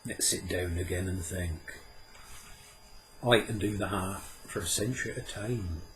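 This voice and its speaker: A man's voice: low-pitched, slight background noise, low-quality recording. A man doing Puck, a sly old hill sprite. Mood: knowing. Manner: sly, measured, slips into a slow sing-song chant. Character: ancient impish male hill sprite, sly and knowing.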